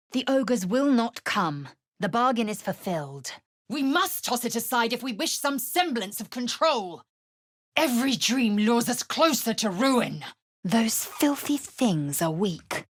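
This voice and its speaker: An actress playing a fierce, haughty young githyanki warrior woman in a video game, with a husky, raspy voice and a clipped, imperious, disdainful delivery. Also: mid-range voice, no echo, clean studio sound. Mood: stern, disdainful. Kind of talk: scripted video game voice lines and narration.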